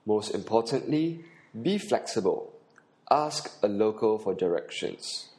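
A man's voice: lowish voice, lively intonation, unhurried, a little mellow. A man with a Singaporean accent, hosting a podcast and narrating an audio tour with earnest instruction. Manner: earnest, instructive, with occasional uh fillers.

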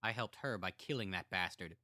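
A man voicing a young jailed killer who talks to his family with bitter contempt and builds to heated shouting. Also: low-pitched, moderate pace, no echo, clean studio sound. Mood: defiant.

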